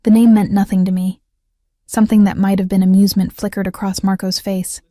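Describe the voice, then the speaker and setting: A woman narrating a first-person romance story in a scripted storytelling style, with an even, subdued delivery. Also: medium pitch, a little fast, no room sound, studio quality.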